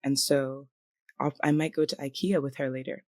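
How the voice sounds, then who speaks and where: A woman with a low voice chats as a podcast guest. She laughs easily and drifts into fillers, recorded dry with no room sound. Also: level tone, moderate pace, a little mellow, no room sound. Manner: chatty, laughing, trails into fillers. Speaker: guest, material researcher. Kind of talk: casual conversation.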